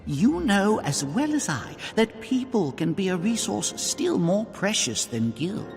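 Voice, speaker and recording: An actor playing a dignified syndicate lord who speaks in a formal, measured way. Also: male voice, high-pitched, sing-song, in a large hall, music in the background, heavily acted. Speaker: game character.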